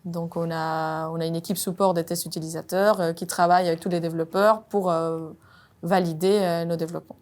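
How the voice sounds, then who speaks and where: A woman, speaking French as a robotics engineer and pausing often on "euh". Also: on the low side, level tone, darkish tone. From Colombia. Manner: measured, frequent "euh" hesitations. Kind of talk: job interview-style monologue.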